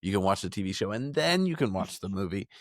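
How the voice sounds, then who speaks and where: Low-voiced man, dry close sound. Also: very animated, quick pace, dry recording. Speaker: podcast host. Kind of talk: casual podcast discussion.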